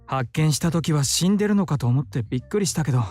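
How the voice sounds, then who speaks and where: An effeminate, motherly man from a visual novel. He is fussy and caring, talking briskly in a husky voice. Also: on the high side, lively intonation, a little mellow, dry recording. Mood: friendly, caring. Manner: feminine phrasing, brisk scolding. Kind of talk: Japanese visual novel acting.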